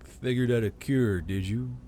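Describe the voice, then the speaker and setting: A man voicing a tired, blunt human soldier who murmurs in a low, wry way. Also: unhurried, dark tone, slight background noise, close to an everyday voice. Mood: dry.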